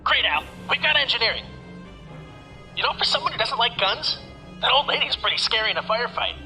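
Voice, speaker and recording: Male actor as excitable sci-fi sidekick: informal, very high pitch, animated swings, light score underneath. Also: brisk, soft background music, heavily acted. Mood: eager, chatty. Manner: informal, eager. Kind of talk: science fiction audio drama acting.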